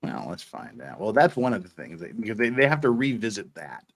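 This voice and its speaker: A man's voice: lowish voice, big pitch swings, brisk, fairly bright tone. A man hosting a casual livestream, rambling and repeating words as he thinks aloud. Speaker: host of an online show.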